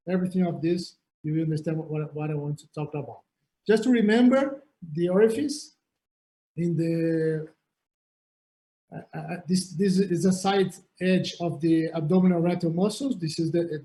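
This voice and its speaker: A man with a Brazilian Portuguese accent lectures on anatomy over a video call, his voice a little high and soft-edged. He speaks haltingly, stumbling and repeating words as he searches for his English. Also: low-quality recording. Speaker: surgeon lecturer. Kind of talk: online lecture.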